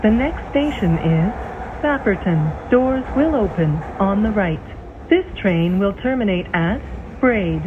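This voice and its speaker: A woman reading scripted transit announcements in a measured, formal, clearly enunciated way. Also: mid-range voice, animated. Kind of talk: scripted train station announcements. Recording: tinny band-limited train speaker, loud vehicle noise.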